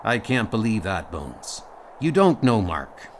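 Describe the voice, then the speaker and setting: A man playing a measured, earnest, loyal starship captain in an audio comic dub. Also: lowish voice, big pitch swings, slight background noise. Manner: deliberate, earnest.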